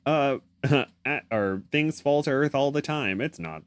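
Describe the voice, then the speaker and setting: A woman's voice: rumbling low, expressive, unhurried. A woman co-hosting a podcast, chatting casually with playful, informal reactions. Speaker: podcast co-host. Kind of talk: casual chat, reacting to a story.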